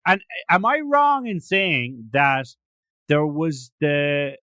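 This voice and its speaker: A man's voice: a little high, animated, slow, pushed and bright, no echo. Rambling Irish man hosting, dry sound. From Ireland. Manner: rambling, many restarts and fillers. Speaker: podcast host. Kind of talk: casual interview chat.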